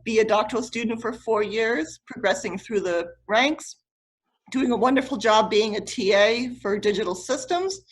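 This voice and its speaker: A woman's voice: medium pitch, low-quality recording. Middle-aged woman introducing a candidate. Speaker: committee chair and PhD advisor. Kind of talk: semi-formal spoken introduction. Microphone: video call.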